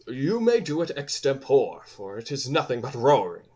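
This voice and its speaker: A man's voice: medium pitch, wildly swooping pitch, moderate pace, dark tone, slightly rough. A man playing a fussy, coaxing workman who directs amateur players, earnest and persuasive, in a comic Shakespearean audio play. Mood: instructing.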